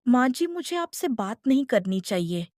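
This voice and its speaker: A woman's voice: a little high, very animated, normal speed, darkish tone, dry recording, clean studio sound. A woman playing a defiant daughter-in-law who talks back in a cartoon. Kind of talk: cartoon voice acting.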